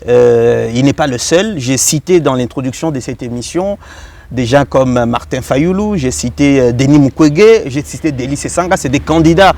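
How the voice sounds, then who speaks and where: A man hosting a political interview, challenging his guest in halting phrases with examples and questions. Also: medium pitch, darkish tone. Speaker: TV interviewer and host.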